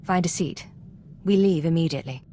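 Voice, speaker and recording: An actress playing a cool, dutiful Imperial agent in a video game, clipped, controlled and businesslike. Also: female voice, fairly low pitch, a little fast, warm tone, slight background noise, close to an everyday voice. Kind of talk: scripted game dialogue.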